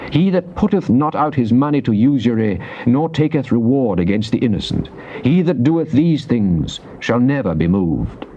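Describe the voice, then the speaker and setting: An actor playing a devout, earnest psalmist, reciting scripture in a solemn, measured, declamatory theatrical style. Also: male voice, medium pitch, very animated, dark tone, lightly acted. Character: devout psalmist, earnest man praying to God. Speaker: dramatized scripture reader. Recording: dull noisy cassette tape transfer.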